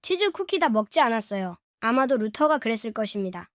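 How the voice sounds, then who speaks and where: A woman voices a defensive cartoon boy bickering with a sibling. The voice is high and races along at an extreme pace, always protesting. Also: big pitch swings, rapid-fire. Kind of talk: cartoon comic dub acting.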